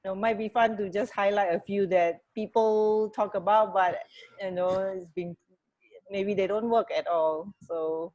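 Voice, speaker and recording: A woman's voice: medium pitch, steady tone, measured pace, darkish tone, dull audio. A middle-aged woman co-hosting a radio show in casual, hesitant chat over a video call from home. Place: home living room with stairs, on a video call. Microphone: earphone mic on a video call.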